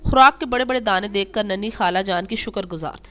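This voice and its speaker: A woman's voice: medium pitch, fast, bright, forceful tone. A woman narrating a scripted children's moral tale as a storyteller.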